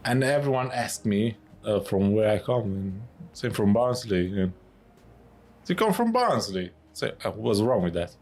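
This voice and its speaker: A man with a fairly low voice and a non-native English accent speaks very slowly. His phrasing is halting and hesitant as he puts casual questions to a guest as podcast host. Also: a little mellow. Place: in a pub over a meal.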